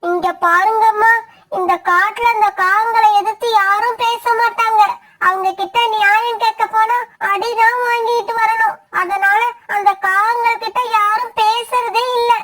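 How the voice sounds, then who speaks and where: A tiny, timid female bird voiced by a woman with an extremely high, childlike pitch. She speaks cautiously and resignedly, as a fearful character in a children's cartoon story. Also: steady tone, measured pace, sharp tone, exaggerated voice. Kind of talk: animated moral story dialogue.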